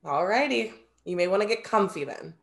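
A woman's voice: mid-range voice, lively intonation, brisk, a little hiss or hum, lightly acted. A young woman playing a proud, playful Greek muse with theatrical, formal wording in a play reading. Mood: playfully proud. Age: young adult. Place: indoor room, video call.

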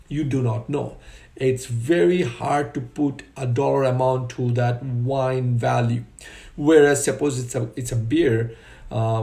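A man's voice: mid-range voice, creaky, warm tone, roomy sound. A man in his forties talking casually to a webcam from a room at home, loose and conversational with many fillers and restarts. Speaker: vlogger. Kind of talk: casual spoken commentary. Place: home room with curtains, indoors.

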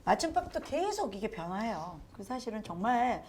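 A woman's voice: on the high side, very animated, moderate pace, darkish tone, a little hiss or hum. A woman hosting a casual studio talk show, leading a spelling quiz with upbeat prompts. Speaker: host.

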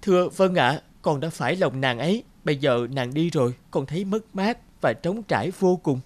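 A man voicing a lovesick young spider in an animated fairy tale, plaintive, humble and earnest. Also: on the high side, moderate pace, close to an everyday voice. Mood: sorrowful, reverent. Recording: guitar music behind dialogue.

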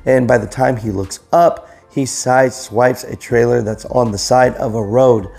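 A man's voice: medium pitch, steady tone, measured pace, creaky, darkish tone. A man in his thirties hosting a trucking news show, talking casually to camera from his living room on a lapel mic, chatty and addressing viewers directly. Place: living room, seated on a couch. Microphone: clip-on lapel mic.

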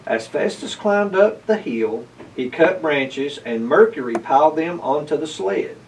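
Middle aged guy reading a kids story, takes it slow, mic sounds far. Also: on the high side, lively intonation, slight background noise. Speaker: library board chair reading aloud. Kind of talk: scripted storybook narration. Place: indoors, library room with bookshelves.

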